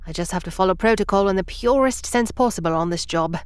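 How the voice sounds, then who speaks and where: A prim, by-the-book veteran time traveler near retirement, acted by a woman, formal in speech and quick to get irritated. Her voice sits at a middling pitch and is recorded dry and close. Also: clear, resonant tone, no echo, close to an everyday voice. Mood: earnest. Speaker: senior time travel agent. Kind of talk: audio drama acting.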